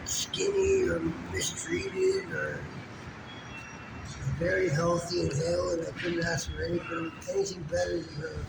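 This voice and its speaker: A man's voice: on the high side, huge pitch swings, measured pace, gravelly. A man chatting casually and candidly as an interviewee on the street. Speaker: street interviewee, dog owner. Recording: very noisy street, distant from mic.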